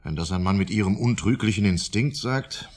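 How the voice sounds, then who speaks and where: An actor playing a shrewd, self-important psychiatry professor, formal and probing, with a husky voice in a radio drama. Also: male voice, fairly low pitch, normal speed, lightly acted. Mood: calculating, formal.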